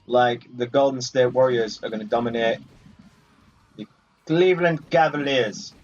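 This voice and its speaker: A man hosts a casual game playthrough with a playfully boastful delivery. His voice sits at a middling pitch and sounds dull and set back from the microphone. Music plays behind him as he talks. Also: unhurried, a little mellow. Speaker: gaming video host. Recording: muffled, distant mic over game music.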